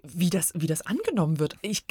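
A woman's voice: on the low side, very animated, brisk, mellow, husky. A woman guest casually chatting about a review, colloquial and reactive. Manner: colloquial, reactive, often chiming in. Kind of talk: casual chat.

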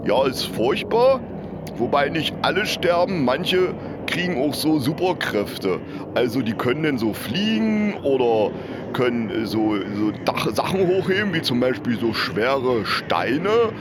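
A man playing a dim, unbothered field reporter who rambles haltingly in a parody live news report. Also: medium pitch, big pitch swings, voice pushed up. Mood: rambling, unbothered. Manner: halting, rambling, simple-minded. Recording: noisy outdoor-style background sound.

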